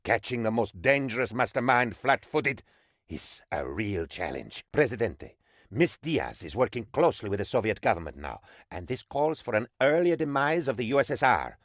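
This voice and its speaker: An actor playing an excitable, sycophantic political aide to an island dictator in a comic video game, with a theatrical, exaggerated delivery. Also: male voice, medium pitch, normal speed, dry recording, clean studio sound. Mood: conspiratorial, dramatic. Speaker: adviser character briefing the player.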